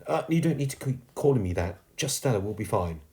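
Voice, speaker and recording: A man voicing an earnest, soft-hearted thirteen-year-old girl, well-spoken and sincere, in a storybook reading. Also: fairly low pitch, sing-song, fast talker. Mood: friendly, apologetic.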